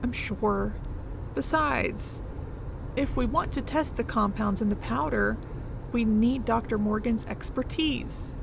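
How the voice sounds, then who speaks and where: A composed, knowledgeable professor, played by a woman, speaks with plenty of vocal fry in an audio drama. Her voice sits a little high and her phrasing is precise and formal. Clear vehicle-like noise runs behind her. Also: slow, exaggerated voice. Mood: matter-of-fact. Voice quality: creaky. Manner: precise, formal, self-possessed. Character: composed, knowledgeable female professor, older than her companion.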